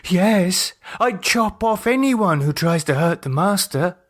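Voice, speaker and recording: Man putting on an eager, high-pitched little girl. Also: expressive, slow, heavily acted. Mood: fervent. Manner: high, childlike, insistent questioning. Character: eager, pleading little girl, fierce and curious.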